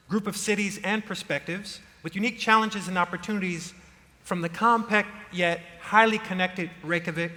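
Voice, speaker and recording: A man with a bright, fairly high voice moderates a panel discussion, his introduction formal and measured. He is on a large conference stage, and the hall rings with a long reverb.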